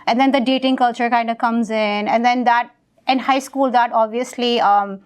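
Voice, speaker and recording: A young woman with a fairly high-pitched voice is talking as an interview guest about her writing. Her speech is relaxed and chatty, full of fillers and restarts. She grew up in Pakistan. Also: normal speed, smooth. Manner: conversational, with many fillers and restarts. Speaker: guest, author. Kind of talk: casual interview talk.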